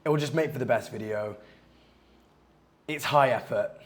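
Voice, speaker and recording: A young man from the West Indies, chatting casually in a vlog, animated and self-mocking, swearing and saying "like" a lot. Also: mid-range voice, normal speed. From Guyana and the West Indies. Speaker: vlogger and outreach coach. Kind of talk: casual vlog talk to camera.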